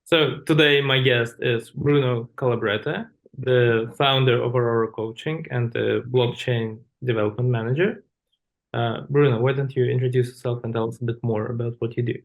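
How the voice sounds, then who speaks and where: Male podcast host with a creaky voice, loose rambling questioner. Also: mid-range voice, fairly even intonation, normal speed. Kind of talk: podcast interview.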